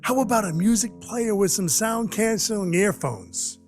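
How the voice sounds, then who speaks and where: A man acting in an audio drama as an awkward, gruff but kindhearted Christmas dwarf who rambles earnestly and runs himself down. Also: sits high, lively intonation, normal speed. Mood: apologetic, coaxing. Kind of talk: audio drama acting with first-person narration. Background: music under the voice.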